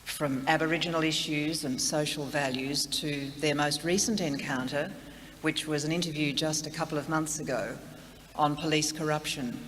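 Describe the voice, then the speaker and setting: A man's voice: fairly high pitch, fairly bright tone. A man speaking formally as master of ceremonies, introducing a speaker. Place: large hall at a memorial. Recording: echoey hall, background noise.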